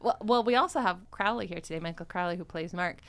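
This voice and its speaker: A woman hosting a podcast in casual chat, retelling bits in an animated, giggly way. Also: lowish voice, fast, a crowd in the background. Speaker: podcast host. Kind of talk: casual chat about a comedy drama podcast.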